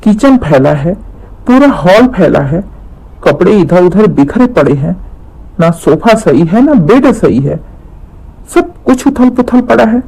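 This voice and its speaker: Exasperated cartoon husband, played by a man: young, weary, pleading, high-pitched for a man, very expressive. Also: measured pace, breathy edge, exaggerated voice, low-quality recording. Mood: irritated. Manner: pleading, irritated questioning. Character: tired, exasperated young husband caught in household quarrels. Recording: music under the voice.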